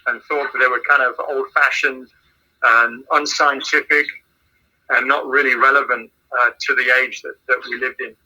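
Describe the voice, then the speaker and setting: A man with an English accent speaks in a measured way as a missions pastor. His voice is very bright and a little husky. He often repeats words while gathering his thoughts. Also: mid-range voice, level tone, rough recording. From England. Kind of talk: casual phone interview answers.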